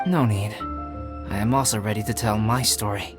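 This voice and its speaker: An actor playing a calm, polite, scholarly young male alchemist in a video game, speaking in a measured, formal, unruffled way. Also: lowish voice, over loud music, lightly acted.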